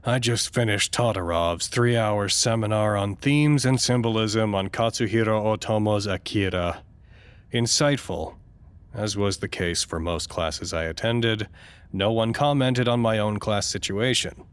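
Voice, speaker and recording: An actor playing a tired, self-conscious research doctor in an audio drama, rambling and stammering when flustered. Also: male voice, lowish voice, measured pace, smooth, natural voice. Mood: weary, reflective. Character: weary, petty but good-natured male research doctor. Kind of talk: audio drama acting, log monologue.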